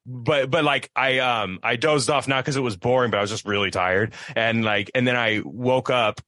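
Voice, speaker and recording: A man in his thirties hosting a casual book podcast, animated and quoting himself in lively voices. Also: medium pitch, moderate pace, dry recording. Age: 30s. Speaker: podcast host. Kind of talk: casual chat about books.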